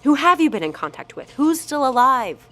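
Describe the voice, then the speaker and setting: A woman's voice: high-pitched, sing-song, normal speed, pushed and bright, full character voice. A woman playing a concerned, insistent medical doctor in an audio drama, speaking in a direct, pressing way. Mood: distressed.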